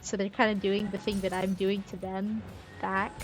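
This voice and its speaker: Woman streamer musing over loud game music. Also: medium pitch, flat delivery, moderate pace. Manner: musing, thinking aloud. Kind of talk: casual gameplay commentary. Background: video game music.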